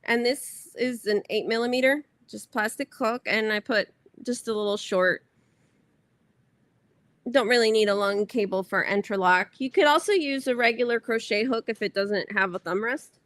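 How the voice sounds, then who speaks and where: Chatty bright-voiced woman crochet host. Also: fairly high pitch, normal speed, no room sound. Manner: chatty, rambling, self-correcting. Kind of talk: casual livestream chat.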